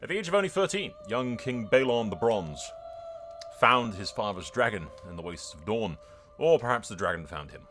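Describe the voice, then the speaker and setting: A man hosting a game roleplay series, telling the story as he plays in an excitable, dramatic way. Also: fairly low pitch, normal speed, soft background music. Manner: excitable, dramatic, mild swearing. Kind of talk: lively game playthrough commentary and storytelling.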